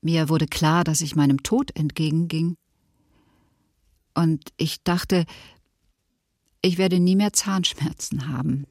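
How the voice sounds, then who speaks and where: Low, slow, sorrowful woman survivor. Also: fairly even intonation, darkish tone, dry recording, clean studio sound, exaggerated voice. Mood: sorrowful, subdued. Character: sorrowful woman survivor recalling her mother and near death. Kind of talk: documentary radio play testimony.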